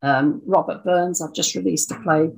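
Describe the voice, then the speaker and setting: An older woman, over sixty, talking reflectively in an interview, thoughtful and halting as she repeats words. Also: low-pitched, steady tone, creaky, low-quality recording. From Scotland. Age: 60s or older. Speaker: interview guest, audio dramatist.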